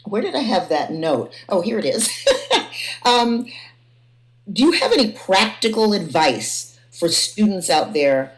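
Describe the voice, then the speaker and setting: A woman's voice: medium pitch, very animated, a little slow. A woman hosting a panel conversation, feeling her way through sentences and repeating phrases for emphasis. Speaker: host and moderator of a panel discussion. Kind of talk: conversational moderating.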